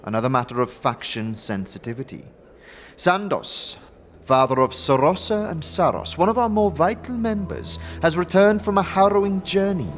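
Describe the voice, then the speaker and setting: A man playing a grave faction leader in a fantasy audio drama, speaking in a measured, formal manner. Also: high voice, animated, faint music behind, voice pushed up. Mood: grave, urgent.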